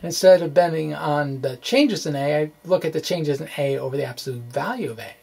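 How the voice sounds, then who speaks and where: A man giving an informal physics lecture, thinking aloud haltingly with folksy, colloquial asides. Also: fairly high pitch, gravelly, a little mellow, breathy edge. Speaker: physics explainer presenting his own blog.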